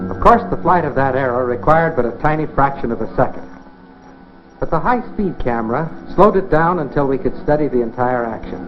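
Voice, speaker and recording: An American man presents a science demonstration in the manner of a 1950s classroom film. His pitch swings expressively as he instructs and puts questions straight to the viewer. The sound is muffled and narrow, like an old film track. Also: animated, fairly quick, over music. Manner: instructive, rhetorical questions, addresses viewer directly. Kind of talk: 1950s educational film narration, scripted demonstration. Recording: dull band-limited 1950s film soundtrack.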